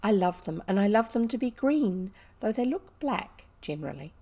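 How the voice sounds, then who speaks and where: A woman's voice: mid-range voice, big pitch swings, moderate pace, dark tone. A woman playing a brave, imaginative little girl in a storybook, speaking earnestly. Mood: bright, brave.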